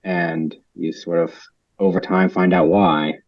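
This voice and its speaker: A man with a lowish voice speaks haltingly on an online writers' panel. His audio is dry and dull, like a lo-fi call. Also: flat delivery, dry recording. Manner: halting, many fillers. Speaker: panelist, author. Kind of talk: casual panel chat.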